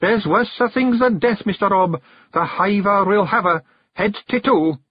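A man's voice: sits high, a little slow, slightly rough, no room sound, lo-fi sound, voice pushed up. A man voicing a young, nervous male pictsie seer with a Scottish accent, earnest and anxious. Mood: frightened.

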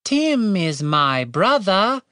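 A woman's voice: low-pitched, sing-song, moderate pace, no echo, studio quality, voice pushed up. A woman voicing a brash, foul-mouthed young elf woman in an audiobook, blunt, sarcastic and demanding. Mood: firm, impatient.

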